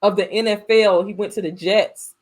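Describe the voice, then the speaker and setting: Female American podcast co-host, mid-range voice, animated casual storyteller, salty language. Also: mid-range voice, moderate pace. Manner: animated storytelling with frequent profanity. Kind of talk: casual movie-podcast chat.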